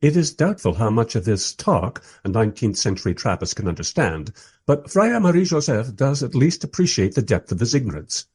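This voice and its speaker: A man playing a wry documentary maker, narrating a radio play in the first person with expressive, husky delivery. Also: mid-range voice, fairly quick, no echo, studio quality. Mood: observant, wry. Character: wry, enthusiastic documentary maker narrating his historical encounters.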